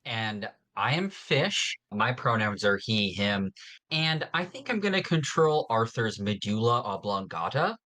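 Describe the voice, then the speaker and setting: A man's voice: fairly low pitch, expressive, a little slow, sharp tone. Man co-hosting a casual podcast. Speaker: podcast co-host.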